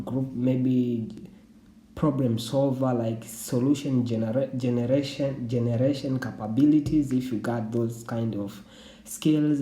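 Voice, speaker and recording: A man's voice: medium pitch, mellow. A young Tanzanian man whose first language is Swahili, explaining a screen-share tutorial in a loose, repetitive way with frequent restarts. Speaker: YouTube channel host. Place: indoor room. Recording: roomy echo.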